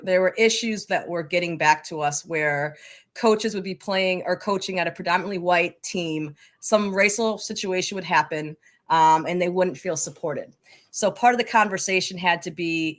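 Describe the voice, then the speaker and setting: A woman speaking as an interview guest, explaining things earnestly and conversationally. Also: fairly low pitch. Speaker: interview guest from a coaches association.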